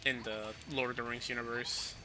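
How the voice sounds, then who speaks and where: A man's voice: mid-range voice, little pitch movement, moderate pace, music in the background. A young man hosting a livestream, asking questions and teasing his friend, greeting viewers. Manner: teasing, inquisitive, greets chat. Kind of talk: casual gaming chat.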